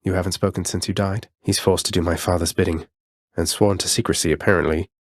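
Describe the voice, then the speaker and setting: Young underworld prince, video game hero, low voice, polite, wry, determined, dry studio sound. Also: male voice, steady tone, fast, no echo. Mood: gentle, earnest. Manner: courteous, dry wit, often says sir. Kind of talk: scripted video game voice lines.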